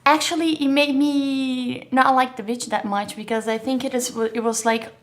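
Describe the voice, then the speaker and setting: A woman's voice: high-pitched, fairly even intonation, moderate pace. A young Brazilian woman, a native Portuguese speaker with a Brazilian accent, chatting as an interview guest, hesitant, with many filler words and frequent laughs. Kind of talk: casual interview chat.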